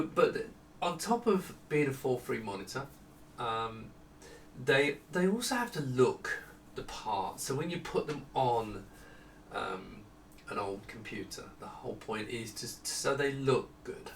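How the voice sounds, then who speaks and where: Middle-aged man, unscripted product demo, conversational and halting, roomy echo, mic at a distance, small indoor office. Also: medium pitch, expressive, vocal fry, fairly bright tone. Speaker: product designer presenting his monitors. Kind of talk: casual unscripted product walkthrough. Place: small home office indoors with studio lighting. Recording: echoey room, distant mic, faint noise.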